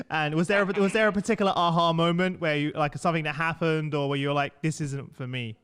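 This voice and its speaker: A man's voice: fairly high pitch, fairly even intonation, fairly quick, a bit bright, clean tone. A man hosting a podcast interview, asking questions in a casual, easygoing way and laughing easily. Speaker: podcast host.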